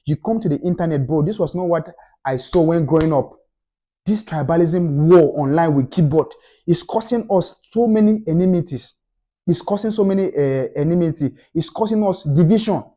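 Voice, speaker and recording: A young man with a Nigerian accent argues his case with fervor, hammering each point home through repetition. His voice sits a little high, yet the tone stays mellow and warm. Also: normal speed. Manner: passionate, emphatic, repeats points for stress. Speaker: commentator. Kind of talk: opinion rant to camera.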